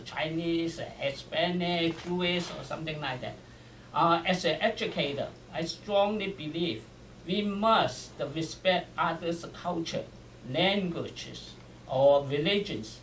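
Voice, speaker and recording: An older man speaking earnestly as an educator at a forum, in short, emphatic, halting phrases. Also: high voice. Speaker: educator and community panelist. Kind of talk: earnest public remarks at a forum. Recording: roomy, distant mic, faint noise.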